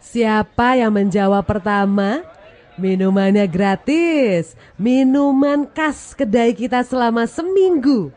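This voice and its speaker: A woman's voice: a little high, expressive, takes it slowly, a little mellow. A woman hosting a talk show, addressing guests and audience. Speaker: host.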